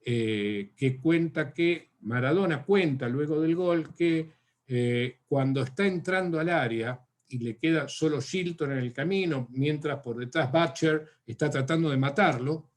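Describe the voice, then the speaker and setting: A man's voice: fairly high pitch, unhurried. A man with an Argentine accent answering questions in an academic seminar, thoughtful and full of fillers and restarts. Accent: Argentine Spanish. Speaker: academic speaker.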